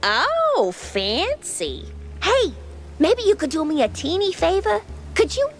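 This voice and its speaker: An actress playing an eager, cheeky young mouse engineer in a noir cartoon game, with bubbly, slangy delivery. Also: female voice, extremely high pitch, big pitch swings, slow pace, a little hiss or hum, full character voice. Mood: excited, coaxing. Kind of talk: animated noir game dialogue.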